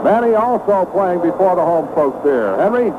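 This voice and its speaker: An American man calling live football play-by-play on radio in clipped phrases, building to excitement on big plays. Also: high-pitched, normal speed. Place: stadium broadcast booth. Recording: noisy, tinny 1970s radio broadcast.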